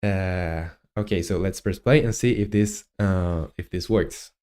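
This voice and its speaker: A young man with a Chilean Spanish accent talks through code on a live stream, halting often to think. His speech is unhurried and his voice sounds smooth and resonant. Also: deep voice, mellow. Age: 20s. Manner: halting, thinking aloud with many fillers. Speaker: game developer streamer. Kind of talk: live coding stream commentary.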